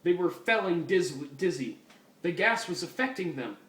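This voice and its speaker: A man's voice: high voice, big pitch swings, normal speed, a bit bright. A man giving a comic dramatic reading of fan fiction, amused and apt to break off to comment. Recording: echoey room, distant mic, faint noise.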